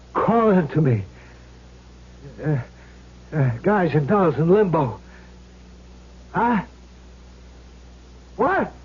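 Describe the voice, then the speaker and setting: A man's voice: high-pitched, husky, heavily acted. An actor playing a startled, anxious man in a 1970s radio suspense drama. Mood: dazed, amazed. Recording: dull vintage radio broadcast.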